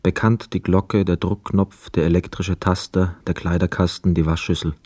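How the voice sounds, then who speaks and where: An actor playing a reflective, weary homecoming soldier in a radio play, with measured, lyrical phrasing. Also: male voice, sits low, little pitch movement, a little mellow, dry recording. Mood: musing. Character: weary young war returnee, reflective, dreamy wanderer. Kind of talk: literary radio play monologue and dialogue.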